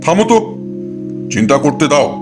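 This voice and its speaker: A man's voice: a little high, big pitch swings, moderate pace, over music. A man playing a worried, testy old village father-in-law in a folk-tale cartoon, fussy and exasperated. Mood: irritated scolding.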